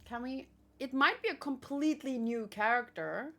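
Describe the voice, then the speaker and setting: A woman hosting a read-along, chatting casually with viewers and thinking aloud, with hesitant pauses and musing hums. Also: high-pitched, a bit bright. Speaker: host of a manga read-along reaction show.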